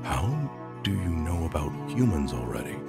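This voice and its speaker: A man voicing a weary, scholarly skeleton scientist father in a comic dub, speaking formally and deliberately, often breathily. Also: low-pitched, sing-song, creaky, full character voice. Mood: gentle, patient. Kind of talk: dramatic comic dub acting. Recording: loud music behind the voice.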